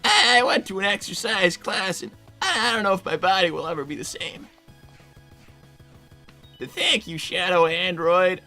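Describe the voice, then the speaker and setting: Someone doing a nervous tired teen fox boy, creaky n bright, stammers a lot, music behind. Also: medium pitch, lively intonation, normal speed, poor audio. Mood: awkward, evasive. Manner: hesitant, stammering. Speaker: live stream host reading donations. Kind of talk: improvised in-character livestream.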